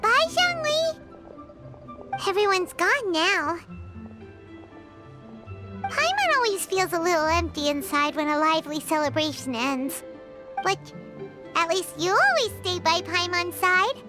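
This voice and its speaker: A woman's voice: lively intonation, measured pace, long pauses, music in the background. A woman voicing a tiny, chirpy, boastful fairy companion with a childlike voice in a video game. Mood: wistful. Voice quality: squeaky childlike voice. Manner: refers to self in third person. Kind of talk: English video game voice acting.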